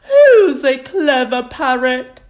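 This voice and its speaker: A woman's voice: sits high, sing-song, slow pace, a little mellow, pitched above the performer's own voice, full character voice. A woman voicing a kindly, cheerful elderly granny pig in a children's storybook, with a doting, singsong manner.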